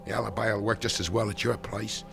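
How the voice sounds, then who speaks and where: A grim, gravelly ex-partner. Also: male voice, lowish voice, steady tone, fast, music in the background. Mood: tense. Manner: wary at first, then grimly determined. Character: bitter male ex-business partner drawn into murder. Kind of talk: 1970s radio drama acting.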